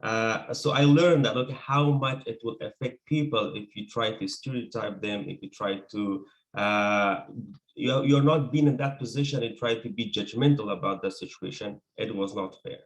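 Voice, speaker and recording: Low-voiced man with an Afghan accent. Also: moderate pace, poor audio. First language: Persian. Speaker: interview guest, scholar. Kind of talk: personal account in an interview.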